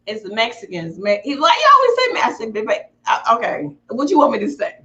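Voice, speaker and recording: Female talk host from Texas, American, bright and very animated, dramatic, chatty delivery. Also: a little high, fast, muffled recording. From Houston, Texas, United States. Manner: exclamatory, dramatic, acts out quoted remarks. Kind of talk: casual chat.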